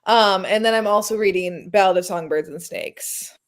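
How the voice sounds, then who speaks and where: A woman's voice: mid-range voice, moderate pace. A woman talking casually about books as a co-host on a chat show.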